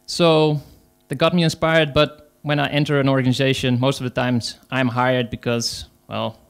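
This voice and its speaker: A man's voice: medium pitch, flat delivery, moderate pace, vocal fry, smooth. A man with a Dutch accent, speaking English as a second language, gives a conference talk as an agile coach, hesitant, with many fillers. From Netherlands.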